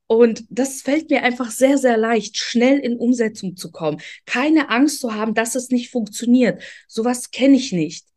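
A woman's voice: a little high, unhurried, few pauses, a little mellow. A woman from Ukraine, hosting an interview and talking in an earnest, motivational, direct way. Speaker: host. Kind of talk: interview conversation.

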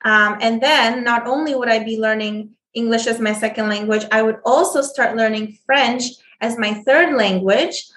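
A woman's voice: a little high, a bit bright. Hesitant woman in a roomy space. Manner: halting, many fillers and restarts. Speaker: guest. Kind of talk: conversational interview.

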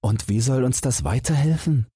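Man playing an earnest young prince, raised pitch. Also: moderate pace, warm tone, slightly rough, no room sound, clean studio sound. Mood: worried.

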